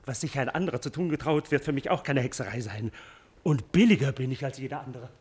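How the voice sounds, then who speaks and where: An actor playing a cold-blooded, mercenary assassin in a classical drama, sly and mock-deferential, with a husky voice. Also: male voice, mid-range voice, fast, a little mellow, lightly acted. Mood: sly, businesslike. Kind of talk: German classical stage drama acting.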